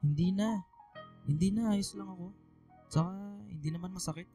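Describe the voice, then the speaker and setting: A man playing an ailing, reassuring Filipino father in a radio drama, gentle and fond of teasing. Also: sits high, normal speed, soft background music.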